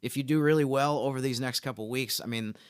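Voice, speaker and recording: A man co-hosting a sports podcast, talking through basketball analysis in a casual, measured and explanatory way. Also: medium pitch, smooth, no room sound, clean studio sound.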